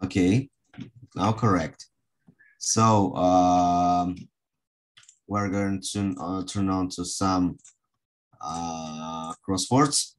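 Guy teaching english online, low voice, slow, lots of halting pauses. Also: fairly even intonation. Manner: halting, with long hesitations. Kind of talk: online group lesson, asking pupils questions. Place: online video call.

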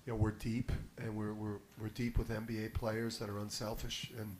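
A man's voice: lowish voice, level tone, normal speed, darkish tone. Man, middle-aged, head coach, emphatic, restarts words, table mic, press room, faint background hum. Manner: confident, emphatic, repeats words when starting. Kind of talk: postgame press conference answers. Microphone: table mic on a stand.

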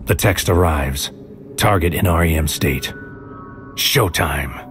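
An actor playing a grim, intense psychic soldier who narrates a sci-fi audio drama in clipped, cryptic, incantatory fragments. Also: male voice, extremely low pitch, takes it slowly, a bit bright, slight background noise, exaggerated voice. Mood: focused, trancelike. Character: male psychic soldier on a mission into another's mind, grim, intense. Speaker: narrator and lead character. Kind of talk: scripted sci-fi audio drama, spoken-word monologue.

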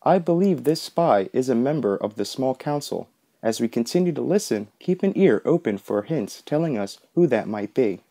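Man, podcast host, mellow dark tone, medium pitch, scripted explanatory narration. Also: animated, moderate pace.

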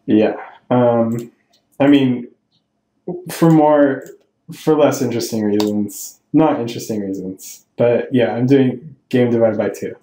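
A man's voice: medium pitch, unhurried, warm tone, roomy sound, low-quality recording. A man teaching programming over a screen recording, thinking aloud and correcting himself as he explains each step. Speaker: programming tutorial host. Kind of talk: screen-recorded coding tutorial. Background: keyboard typing and clicks.

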